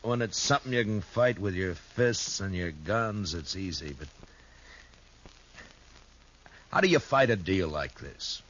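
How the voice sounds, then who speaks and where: An actor playing a weary, principled frontier marshal in a 1950s radio western, calm, firm and measured. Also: male voice, low-pitched, slight background noise, poor audio. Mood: troubled. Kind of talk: 1950s radio drama acting.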